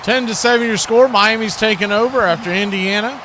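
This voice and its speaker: A man's voice: sits high, fairly quick. A man calling live football play-by-play as a radio announcer. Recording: clearly noisy background hiss and ambience.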